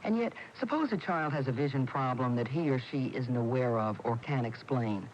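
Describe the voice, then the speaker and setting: A man's voice: mid-range voice, lively intonation, moderate pace. A man with an American accent reading a scripted public service announcement on the radio. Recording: muffled AM radio with faint noise.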